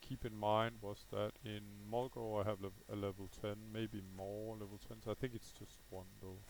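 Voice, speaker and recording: A man's voice: on the low side, flat delivery, moderate pace, a little mellow, a little hiss or hum, poor audio. A man with a Danish accent, a native Danish speaker, gives casual unscripted gameplay commentary, thinking aloud hesitantly and correcting himself as he goes. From Denmark. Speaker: gaming video host.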